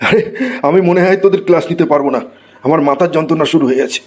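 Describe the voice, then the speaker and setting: A man voicing an exasperated, excitable Bengali schoolteacher in a comedy cartoon, theatrical and given to exclaiming. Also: on the high side, racing, close to an everyday voice. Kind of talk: comic cartoon voice acting.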